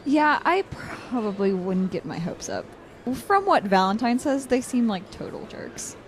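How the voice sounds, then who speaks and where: Lively-pitched, awkward young student. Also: female voice, measured pace, low-quality recording. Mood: dry, casual. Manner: hesitant, stammering. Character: awkward, studious young female chemistry student. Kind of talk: audio drama acting.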